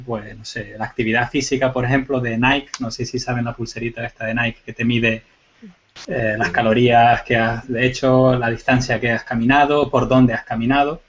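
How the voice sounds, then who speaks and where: A man with a Canarian Spanish accent mixed with Cuban tones, explaining things in a relaxed, chatty way with joking asides on a video call. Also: mid-range voice, highs cut off. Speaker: guest panelist, forensic IT.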